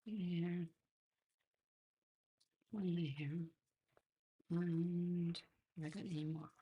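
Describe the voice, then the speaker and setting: An Australian woman with a croaky voice hosts a casual live craft tutorial, chatting and murmuring to herself as she works. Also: on the low side, steady tone, mellow. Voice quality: husky, croaky. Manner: unhurried, chatty, murmuring to herself while working. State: croaky voice, clearing throat. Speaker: host of a craft demonstration livestream.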